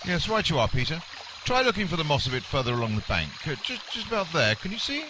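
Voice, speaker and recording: A man's voice: fairly high pitch, big pitch swings, fast talker, background noise. A man playing a wordy, unflappable, pompous nature presenter in a mock radio documentary, speaking with formal politeness. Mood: cheerily oblivious.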